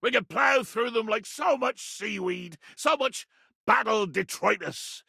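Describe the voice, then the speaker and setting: A man playing a pompous, belligerent sea captain in a video game, with a raspy voice and a theatrical, grandiloquent delivery. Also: sits high, animated, unhurried, sharp tone, no room sound, clean studio sound, heavily acted. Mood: musing, grandiose. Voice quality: gravelly, raspy.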